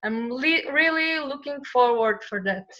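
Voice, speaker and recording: Young woman from bulgaria, high bright voice, friendly, hesitant, muffled call audio. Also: lively intonation, unhurried, faint music behind. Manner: friendly, searching for words with many fillers. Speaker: illustrator guest. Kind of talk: casual interview answers.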